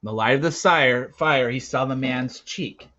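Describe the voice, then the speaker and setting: A man reading a children's novel aloud with deliberate, dramatic phrasing. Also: medium pitch, bright, forceful tone, lo-fi sound. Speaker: story reader. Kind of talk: expressive read-aloud narration of a children's novel.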